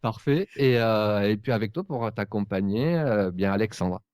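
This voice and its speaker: A man hosting a casual podcast, speaking in a hesitant, halting way. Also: on the low side, expressive, darkish tone, clean tone, no room sound, clean studio sound. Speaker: podcast host.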